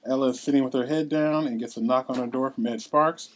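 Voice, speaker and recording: A narrator delivers scripted stage directions for a table read. The voice is of middling pitch. The recording is noticeably noisy, with small clicks. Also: steady tone, quick pace.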